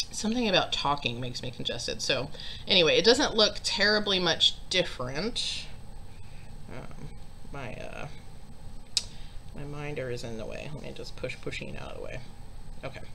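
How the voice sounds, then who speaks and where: A woman in her thirties talks into a desk webcam in her home craft room, rambling casually with plenty of ums and little laughs. Her voice sits low but has a bright, forward edge, and she sounds a bit congested. A faint hiss of room noise runs under her. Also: expressive, unhurried, creaky, long pauses. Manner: rambling, chatty, frequent ums and self-deprecating laughs. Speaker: cross stitch vlogger. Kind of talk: casual chat to camera.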